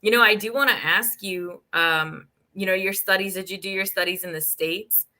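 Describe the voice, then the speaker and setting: A woman's voice: mid-range voice, steady tone, moderate pace, sharp tone. A woman hosting an interview, enthusiastic and full of exclamations. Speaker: interview host. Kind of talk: conversational interview.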